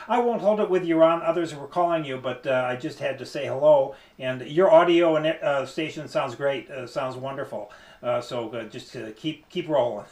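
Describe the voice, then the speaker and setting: A man talking casually as an amateur radio operator, with hesitant, halting phrasing. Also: medium pitch, darkish tone. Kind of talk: casual ham radio conversation. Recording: echoey, roomy sound.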